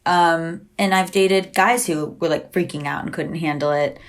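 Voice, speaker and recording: A woman chatting openly as a guest, her voice sitting a little low for a woman. She talks in loose, restarting bursts packed with "like," playing out quoted exchanges and breaking into laughter often. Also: fairly even intonation, normal speed. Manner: chatty, heavy use of "like", acts out quoted exchanges. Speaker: podcast guest. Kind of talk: candid conversational interview.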